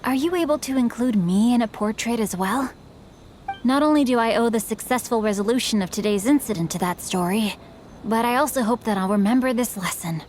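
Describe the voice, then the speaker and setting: A woman's voice: a little high, brisk, darkish tone, a little hiss or hum, lightly acted. A woman voicing a polite young male swordsman and novelist in a video game, with formal, courteous phrasing. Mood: earnest.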